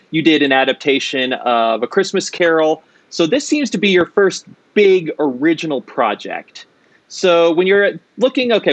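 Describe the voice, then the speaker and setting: A man's voice: fairly high pitch, no room sound. A man hosting an interview in a conversational, thoughtful and discursive way. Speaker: interview host.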